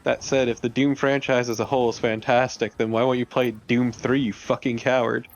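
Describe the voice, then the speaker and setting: A man's voice: medium pitch, little pitch movement, brisk, dull audio. A man co-commentating on a let's play with casual, teasing banter. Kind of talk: casual banter over gameplay. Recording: faint background noise.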